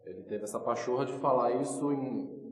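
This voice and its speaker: A man's voice: medium pitch, brisk, faint music behind. A Brazilian man asking a question in an interview, informal and a little hesitant in his phrasing. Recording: echoey room, reverberant.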